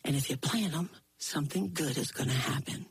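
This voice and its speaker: A woman doing a shaky, gruff old man. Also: low voice, a little fast, a little hiss or hum, voice pushed down. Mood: stern.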